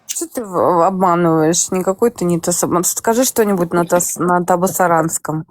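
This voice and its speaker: A woman's voice: on the low side, no room sound. A woman hosts a live voice chat, talking in a blunt, skeptical way and teasing the people she speaks with. She sounds close and dry, with no room around her voice. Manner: direct, skeptical, quick to tease. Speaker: stream host. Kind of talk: casual chat.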